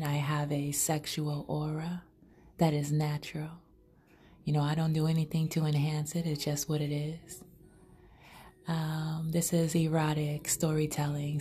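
A woman's voice: low voice, mellow. A woman hosting her own podcast, improvising erotic storytelling in a sultry, half-whispered, intimate way. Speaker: podcast host.

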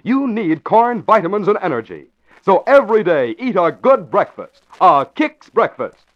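A man's voice: high-pitched, very animated, moderate pace. A man announcing and narrating from a script in an emphatic, polished 1940s radio style. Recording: dull lo-fi old radio recording.

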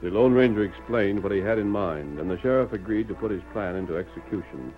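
A man's voice: fairly low pitch, expressive, fast, over music. A man reading dramatic scripted narration for an old-time radio western. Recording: muffled vintage radio recording.

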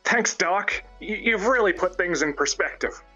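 A man's voice: high voice, expressive, moderate pace, sharp tone, husky, faint music behind, voice pushed up, exaggerated voice. A man playing a bewildered caller to a talk show, hesitant and confused, in a dramatic reading. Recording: dull lo-fi stream audio.

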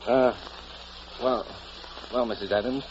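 A man's voice: mid-range voice, fairly even intonation, takes it slowly, lightly acted. An actor playing an eager, impulsive young American newspaperman and devoted husband in 1940s radio drama, boyish and stammering when flustered. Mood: playful, fond. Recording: muffled old radio broadcast with faint noise.

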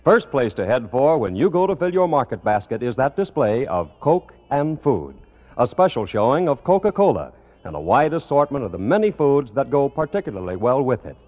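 Old 50s radio announcer guy, polished sales pitch, really animated intonation, muffled lo-fi sound. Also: fairly quick. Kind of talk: 1950s radio announcing, scripted ad and song introductions. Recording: dull old radio broadcast, music behind.

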